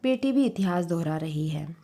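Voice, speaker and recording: A woman voicing an earnest, protective middle-aged mother in a story reading, speaking in a measured, advising way. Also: lowish voice, expressive, warm tone, voice pushed down. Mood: relieved.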